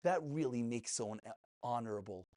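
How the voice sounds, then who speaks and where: A man's voice: mid-range voice, animated, moderate pace, dark tone. A man giving a passionate, informal religious lesson as a rabbi, emphatic, full of rhetorical questions and repeated phrases.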